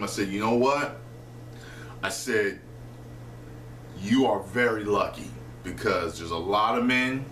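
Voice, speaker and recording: Profane, animated man vlogging in a kitchen. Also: fairly low pitch, far from the mic. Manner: animated, profane, slangy street talk. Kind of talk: casual storytelling to camera. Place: small home kitchen, indoors. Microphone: camera a few feet away. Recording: roomy echo, voice a bit off-mic, faint hiss.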